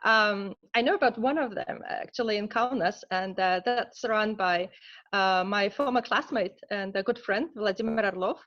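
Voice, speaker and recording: A woman from Lithuania with a Lithuanian accent, a scientist answering interview questions earnestly, with many pauses and fillers. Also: mid-range voice, a bit bright, lo-fi sound. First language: Russian. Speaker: scientist guest.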